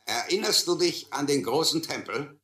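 A man's voice: on the high side, lively intonation, normal speed, bright, forceful tone, reverberant. An actor playing a probing old seaman who tests tall tales in a German radio drama.

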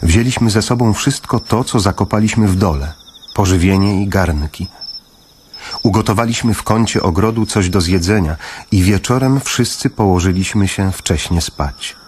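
Man playing a deep-voiced somber father. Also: a little mellow, a little hiss or hum, natural voice. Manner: measured, grave, with pauses. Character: somber father narrating his family's wartime flight. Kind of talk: scripted first-person narration, radio play.

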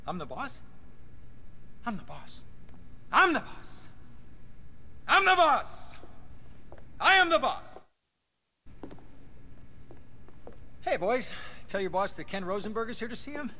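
Neurotic video game lawyer, very high-pitched, piercingly bright, melodramatic, stammering, desperate. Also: male voice, very animated, measured pace, long pauses, slight background noise, heavily acted. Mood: nervous bravado. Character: panicky, self-pitying neurotic male mob lawyer, foul-mouthed and desperate. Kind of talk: video game cutscene acting.

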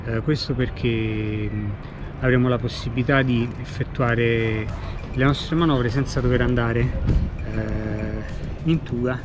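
A man's voice: mid-range voice, steady tone, unhurried, noisy background. A middle-aged man giving a casual tour of his sailboat, hesitant, with many fillers and restarts, filmed outdoors in a harbor on a handheld camera. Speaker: sailor giving a boat tour. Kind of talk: casual walkthrough vlog. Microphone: handheld action camera at arm's length.

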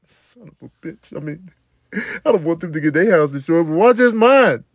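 A man performing a comedic skit monologue in a lamenting, drawn-out manner. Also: a little high, animated, dry recording.

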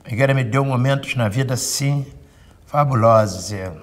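A man in his late sixties, a samba singer, telling his story in an expressive way. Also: mid-range voice, moderate pace. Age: 60s.

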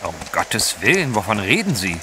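An actor playing a bold, unflappable gentleman adventurer in an audio drama, brisk and dryly witty. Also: fairly low pitch, big pitch swings, a little hiss or hum. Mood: wry. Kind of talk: German radio play acting.

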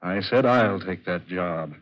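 A man's voice: low voice, measured pace. An actor playing an anxious, bewildered male office clerk in a 1940s horror radio drama. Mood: nervous but determined. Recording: dull vintage 1940s radio recording.

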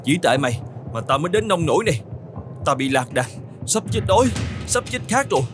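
A man plays a young cartoon camel with a raised, whining voice. He is excitable and sorry for himself, exclaiming aloud to himself. Music runs prominently behind him. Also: a little high, a little fast. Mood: angry, blaming. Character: young male camel, lost, self-pitying, excitable. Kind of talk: animated fairy-tale dubbing.

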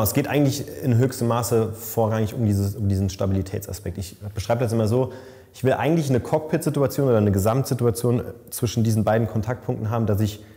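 Mellow-voiced man explaining in a reverberant room. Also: mid-range voice. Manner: thoughtful, explaining, with stumbles and repeats. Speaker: bike fitter. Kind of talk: casual expert explanation. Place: bike fitting lab. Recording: long reverb in a lab room.

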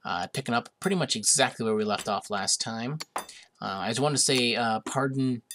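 A man's voice: medium pitch, normal speed, fairly bright tone. A young man hosting a gaming video, getting over a cold and a bit sniffly, chatting casually with lots of hesitations while he plays. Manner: chatty, hesitant with many uhs, amused. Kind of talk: casual let's-play commentary.

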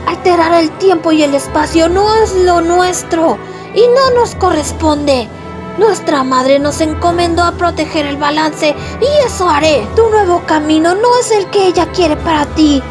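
An earnest boyish skeleton guardian voiced by a woman speaks in a very high voice. He is gentle, protective and resolute, in cartoon-dub style over clear music. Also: a little slow. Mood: determined. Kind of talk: dramatic fan-dub comic acting in Spanish.

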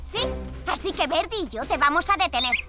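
A woman's voice: high voice, lively intonation, fairly quick. A woman voicing a brave, caring and eager young superhero girl in a children's cartoon. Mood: determined, encouraging. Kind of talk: animated children's cartoon dubbing. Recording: loud music behind the voice.

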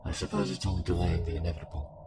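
Man voicing a very deep, weary cult villain. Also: big pitch swings, heavy background noise. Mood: resigned, taunting. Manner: slow, resigned, taunting. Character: weary, menacing male cultist villain in spirit projection. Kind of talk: audio roleplay voice acting. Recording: music behind the voice.

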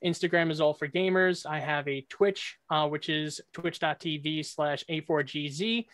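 Male gaming creator from New York, high register, casual, dry close recording. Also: steady tone, moderate pace, fairly bright tone, no echo. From Long Island, New York. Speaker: debate contestant and gaming content creator. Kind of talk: casual video-call chat. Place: indoor room at home.

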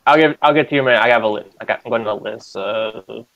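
A man's voice: lowish voice, fast talker, fairly bright tone, lo-fi sound, slight background noise. A young man in casual game chat, acting as game master for an online tabletop session. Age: eighteen.

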